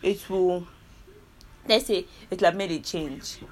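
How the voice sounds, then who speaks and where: This is a young woman with a Nigerian accent and a voice pitched a touch low. She talks in a loose, earnest monologue to her listeners. She often doubles back to rephrase herself. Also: measured pace, slight background noise. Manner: rambling, self-correcting, earnest and persuasive. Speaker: podcast host. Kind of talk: casual solo monologue.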